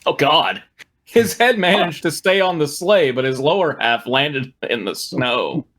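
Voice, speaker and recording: A man's voice: a little high, lively intonation, measured pace, fairly bright tone. A man reading fan fiction aloud as host, narrating dramatically with wry, amused asides. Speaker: reader and host.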